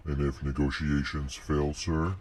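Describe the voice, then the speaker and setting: A man's voice: very deep voice, flat delivery, a little slow, darkish tone, slight background noise. A man playing a dutiful military subordinate in a sci-fi audio drama, formal and deferential toward his superior. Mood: respectful, inquiring. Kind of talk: scripted sci-fi audio roleplay acting. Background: background music.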